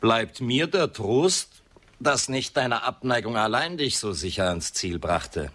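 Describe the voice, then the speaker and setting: An actor playing a suave, grandiose, mocking builder with theatrical, ironic delivery in a radio drama. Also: male voice, mid-range voice, animated, slow, a bit bright. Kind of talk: German radio drama acting.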